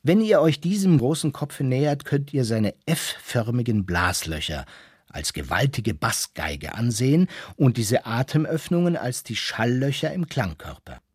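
A husky-voiced man reads literary narration for a radio drama. His pitch moves widely as he lectures theatrically, speaking straight to the listener. The recording is dry and close, with little room sound. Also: animated, unhurried, no echo. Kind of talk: literary scripted narration, radio play reading.

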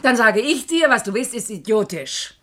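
Bright, sharp-tongued woman prosecutor. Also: fairly high pitch, expressive, normal speed. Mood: sarcastic, indignant. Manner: blunt, sharp-tongued, familiar. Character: outspoken, sarcastic female senior prosecutor. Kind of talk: German radio play acting.

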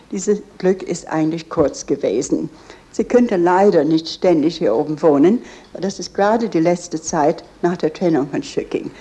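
Female tour guide with a foreign accent, earnest and explanatory, deep for a woman and mellow. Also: breathy edge.

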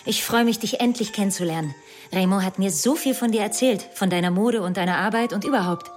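A woman's voice: medium pitch, brisk, natural voice. An actress playing an eager, chatty, gushing girlfriend in a radio play. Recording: music behind the voice.

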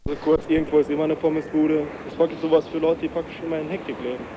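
A man explains things casually and quickly in a rather high voice. The cassette sound is dull and noisy, with street traffic around. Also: little pitch movement. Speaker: interviewee.

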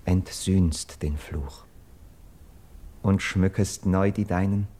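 An actor playing an urgent, persuasive young nobleman of Greek myth in a classical verse radio drama, with declamatory, measured stage diction. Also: sits low, fairly even intonation, dark tone. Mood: exalted, hopeful.

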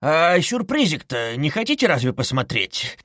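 A man's voice: sits high, animated, normal speed, sharp tone, no room sound, clean studio sound, pitched above the performer's own voice, exaggerated voice. A man voicing a wily, mockingly cheerful police investigator in an audiobook, with a giggly, coaxing, teasing manner. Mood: playful, gloating. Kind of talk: dramatized audiobook reading.

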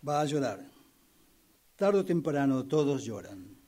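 A man plays a quietly menacing male character in a radio play. He is insistent and formal, soothing while he threatens, and always justifying himself. His voice sits at an ordinary middle pitch. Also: very animated, a little slow, husky. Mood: quietly threatening. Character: menacing yet soothing man coaxing tears from a woman. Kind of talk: radio drama workshop acting.

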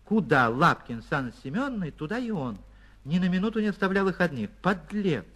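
A man reading a literary short story for radio as an actor, expressive and theatrical, with deliberate pauses. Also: high-pitched. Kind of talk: dramatic literary narration for radio.

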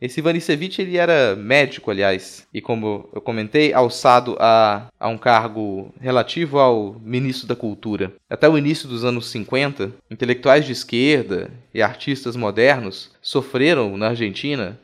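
A Brazilian man presents a scripted podcast narration in a medium-pitched voice. He reads in an expository way, stepping out now and then for short explanatory asides. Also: moderate pace, few pauses. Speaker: podcast host. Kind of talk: scripted narration of art history.

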